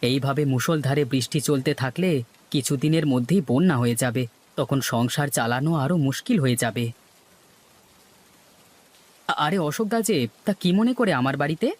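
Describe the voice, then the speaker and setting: A man's voice: medium pitch, normal speed, pauses often, natural voice. A man voicing a worried, suspicious poor village fisherman and husband in a cartoon. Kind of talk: Bengali cartoon voice acting.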